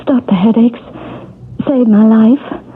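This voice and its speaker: A woman's voice: on the high side, takes it slowly, slightly rough. An actress playing a desperate, anxious married woman in a 1970s radio drama, hesitant and stammering. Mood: weary, pleading. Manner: hesitant, stammering, breaking off mid-phrase. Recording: muffled, lo-fi old radio broadcast.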